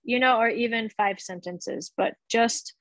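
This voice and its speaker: A woman's voice: fairly high pitch, a little slow, dry recording. A woman in her thirties giving a casual guest lecture on a video call through earbuds, chatty and laughing easily as she checks in with her listeners. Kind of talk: casual explanatory teaching over video call. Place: small indoor room.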